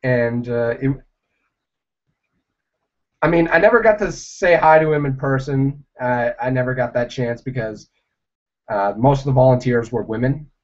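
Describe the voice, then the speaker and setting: A young man chatting casually on a livestream, answering viewers in a rambling, halting way with many fillers. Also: mid-range voice, darkish tone. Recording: dull lo-fi livestream audio.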